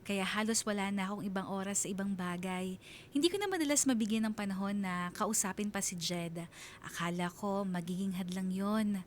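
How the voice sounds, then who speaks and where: An actress playing a sincere mother who tells her love story in measured, heartfelt narration for a radio drama. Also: mid-range voice, a little hiss or hum, close to an everyday voice. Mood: heartfelt, grateful.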